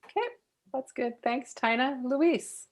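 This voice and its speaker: Woman briskly hosting a video call. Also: sits high. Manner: brisk, calls on people by name. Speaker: host. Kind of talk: facilitating an online group session.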